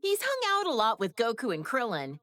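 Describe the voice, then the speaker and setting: A composed, knowing woman from a video game. She explains things matter-of-factly with a dismissive edge, in a fairly high, very lively voice, recorded dry. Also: fairly quick, dry recording. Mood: explanatory. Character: composed, knowing woman, loyal wife to a proud warrior. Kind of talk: scripted video game character banter.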